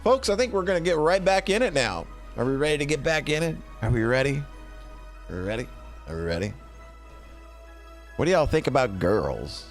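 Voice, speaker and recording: A man's voice: on the high side, big pitch swings, moderate pace, long pauses. Middle aged guy streaming, rambly n playful, voice swings up and down a lot, bit of music behind. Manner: rambling, playful, often repeats phrases. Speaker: streamer presenting a tier list. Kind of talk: casual livestream chat and commentary.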